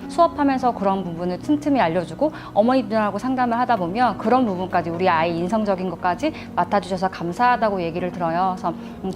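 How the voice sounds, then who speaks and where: A woman tutor speaking earnestly in a testimonial interview, explaining her approach. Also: mid-range voice. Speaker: tutor at an education company.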